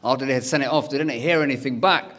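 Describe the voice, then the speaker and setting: A young man does stand-up on a comedy stage. He tells personal stories and jumps in and out of the voices of the people in them. He keeps the delivery animated and chatty. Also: medium pitch, rapid-fire. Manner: animated, acts out every character's lines. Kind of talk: stand-up storytelling.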